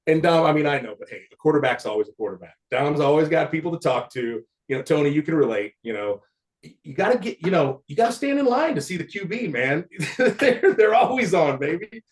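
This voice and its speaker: A man's voice: a little high, very animated, fairly quick. A man in his fifties, a former football player, casually reminiscing with old teammates in a rambling way, often laughing. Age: 50s.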